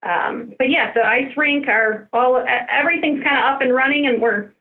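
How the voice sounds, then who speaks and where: A woman's voice: high-pitched, moderate pace. A woman speaking as a recreation department guest in a casual radio interview over the telephone. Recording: muffled narrow phone line.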